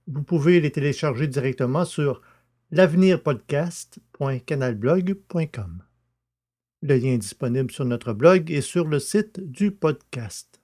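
Higher-pitched man hosting a podcast. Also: a little mellow. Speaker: podcast host. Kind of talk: scripted podcast presentation.

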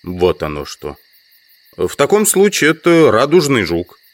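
An actor playing an inquisitive, sceptical insect collector from the city in an audio drama. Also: male voice, medium pitch, wildly swooping pitch, unhurried, no room sound. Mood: insistent, sceptical. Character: male amateur entomologist, inquisitive, sceptical, politely insistent city visitor. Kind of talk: Russian audio drama, dialogue and inner monologue.

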